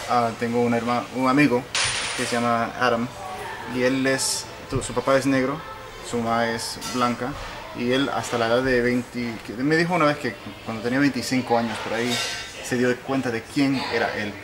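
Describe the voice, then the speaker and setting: A man from Puerto Rico interviewing conversationally and sharing personal anecdotes, halting and correcting himself as he goes. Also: mid-range voice, steady tone. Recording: noticeable background noise.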